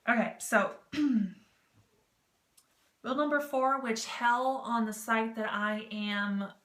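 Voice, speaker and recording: A woman's voice: fairly high pitch, steady tone, slow, fairly bright tone. A woman talking casually as a vlog host, explaining things in a rambling, informal, self-deprecating and jokey way. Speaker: vlog host giving tips on written role-playing. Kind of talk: casual, chatty explainer to camera. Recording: roomy echo with clear background noise.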